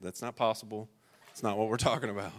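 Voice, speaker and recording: Earnest preacher with a low voice. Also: male voice, steady tone, fast, slight background noise. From rural Ohio. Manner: earnest and emphatic, swinging between raised stresses and quiet personal asides. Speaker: pastor. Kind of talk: sermon.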